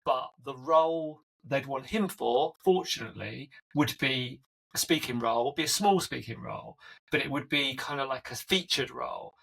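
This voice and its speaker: A man's voice: medium pitch, moderate pace, vocal fry, fairly bright tone, husky, poor audio. A man hosting a podcast, asking long, winding interview questions in a friendly, conversational way. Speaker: podcast host.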